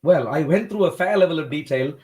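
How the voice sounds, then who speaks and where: A man from India hosting a debate livestream, talking in a measured, polite way with frequent hesitations. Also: on the high side, a loud crowd. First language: not Hindi. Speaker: livestream host.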